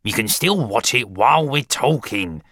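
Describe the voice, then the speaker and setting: A man's voice: medium pitch, expressive, normal speed, gravelly, a bit bright, no room sound, clean studio sound, voice pushed up. A man voicing a rude, curt middle-aged father in an audiobook, brusque and dismissive.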